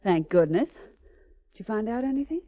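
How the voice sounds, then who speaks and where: A woman's voice: medium pitch, expressive, brisk, natural voice. A woman playing a detective's teasing, wisecracking assistant in a 1940s radio drama, wry and quippy. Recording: tinny, narrow-band 1940s radio recording.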